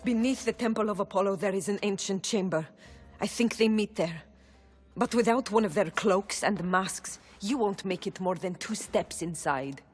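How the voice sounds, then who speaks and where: A woman playing a frightened breathy oracle. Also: mid-range voice, moderate pace, soft background music, natural voice. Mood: confiding. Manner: urgent and fearful, pleading. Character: Pythia, frightened woman oracle under threat. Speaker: oracle in a video game.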